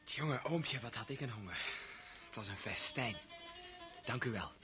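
An actor playing a cheerful, polite young Russian count in a radio drama. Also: male voice, medium pitch, lively intonation, unhurried, music in the background, close to an everyday voice.

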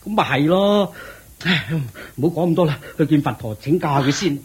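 A man's voice: fairly high pitch, expressive, moderate pace, husky. A man with a Hong Kong Cantonese accent playing a proud, worried wealthy father who pleads and frets in a radio drama. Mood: fretful. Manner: pleading, fretful.

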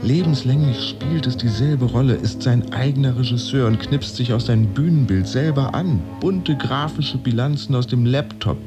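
An actor playing a sardonic employee in a radio drama, narrating layoffs like theatre in a dramatic storytelling manner. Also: male voice, mid-range voice, moderate pace, hardly any pauses, over music, lightly acted. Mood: sardonic, ominous. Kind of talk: modern German radio drama monologue.

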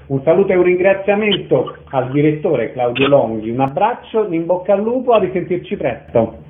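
A man with a fairly high voice hosts a radio interview, sounding roomy and thin like a phone. He delivers prepared introductions and concise, formal questions. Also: very animated, moderate pace, narrow, tinny audio, background noise.

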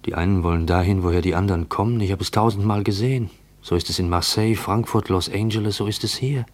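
A man playing an uneasy, watchful narrator in a radio play, introspective, questioning and deliberate. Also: fairly low pitch, a little mellow, natural voice. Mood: uneasy, searching. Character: uneasy, watchful male narrator shadowing a stranger. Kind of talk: German radio play monologue.

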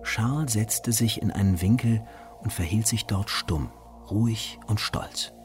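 A man's voice: fairly low pitch, darkish tone. A man narrating a literary radio drama in a scripted storytelling style, with music behind him. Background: music with ringing tones.

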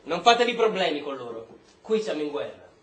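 An actor playing a brutal, foul-mouthed military officer, barking contemptuous orders in a stage drama. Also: male voice, fairly high pitch, very animated, a little fast, a bit bright. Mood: menacing, scornful. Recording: roomy, echoing stage space.

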